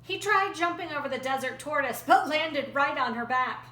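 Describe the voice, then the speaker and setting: A woman tells a folktale with dramatic expression and deliberate phrasing. Her voice is bright and rather high, recorded in an echoey room with faint noise. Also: animated. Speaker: storyteller. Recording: roomy echo, faint background noise.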